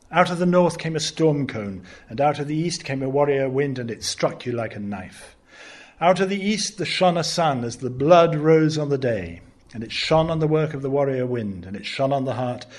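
A man giving a dramatic poetry recitation in a measured, rhythmic way, swelling at times into loud declaiming. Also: mid-range voice. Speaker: poet reading his work.

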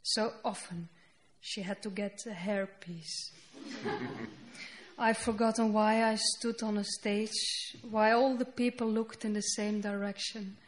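A woman whose first language is Dutch, speaking English with a Dutch accent as she reads her poetry slowly and deliberately on a festival stage. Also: medium pitch, fairly even intonation, slight background noise. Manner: slow, deliberate reading with halting English asides. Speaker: poet. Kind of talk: poetry reading. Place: festival stage before an audience.

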